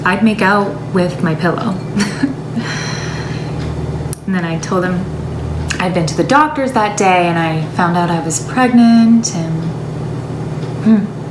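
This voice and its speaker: A woman's voice: on the low side, natural voice. Woman acting a rambling, wistful woman, echoey room. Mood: dreamy, nostalgic. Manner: confessional, rambling, emphatic. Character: chatty, wistful, self-deluding woman confiding in her sister. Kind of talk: dramatic stage monologue. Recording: echoey room with background noise.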